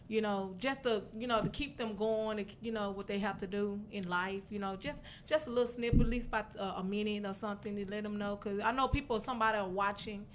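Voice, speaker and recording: A woman's voice: mid-range voice, a bit bright, slight background noise. A woman hosting a radio show, interviewing a guest and cheering her on with constant affirmations. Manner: encouraging, constant uh-huhs and amens. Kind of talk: interview chat.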